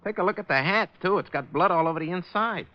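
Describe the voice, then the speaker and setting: An actor playing a nervous, friendly working-class cab driver with a hesitant, deferential manner in 1940s radio style. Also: male voice, high voice, fast, natural voice. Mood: nervous, pleading. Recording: dull vintage radio recording.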